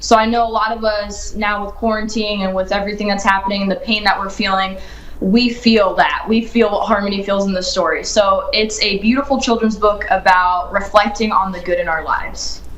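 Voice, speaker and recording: A woman's voice: mid-range voice, steady tone, normal speed, a bit bright, slight background noise. A young woman who grew up in Ohio, an author answering interview questions in an earnest, upbeat way, thinking aloud as she goes. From United States, grew up in Ohio. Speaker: children's book author, guest. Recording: echoey room.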